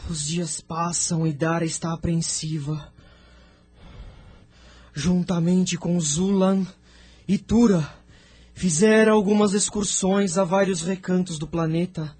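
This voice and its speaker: A man's voice: high-pitched, slow pace, long pauses, darkish tone. A man playing a solemn seer who narrates a vision in an audio drama, drawing out his words.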